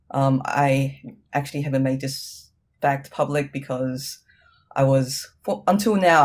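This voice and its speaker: Woman with a New Zealand accent. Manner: hesitant, many ums, lively rises in pitch. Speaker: interview guest. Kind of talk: casual interview conversation.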